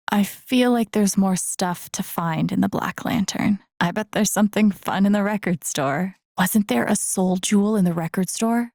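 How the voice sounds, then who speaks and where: A woman's voice: mid-range voice, vocal fry, darkish tone, no room sound, studio quality. A young woman with an American accent acting a video game heroine, an empathetic, wry character who murmurs casually to herself. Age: young adult. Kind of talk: naturalistic video game voice acting, inner monologue.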